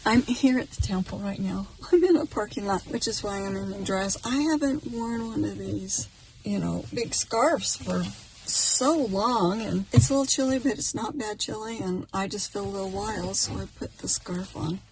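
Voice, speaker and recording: A woman's voice: medium pitch, normal speed, a little mellow. Musing American woman, noisy background. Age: middle-aged. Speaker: vlogger. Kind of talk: casual reflective vlog talk to camera. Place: outdoors in a park, partly in a parking lot.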